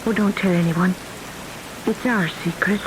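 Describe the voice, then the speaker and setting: A woman's voice: mid-range voice, fairly even intonation, a little slow, lightly acted. Female actor, young sweetheart, tender, secretive, mid-range voice, old radio drama, hissy recording. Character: secretive, lovestruck young Irish woman.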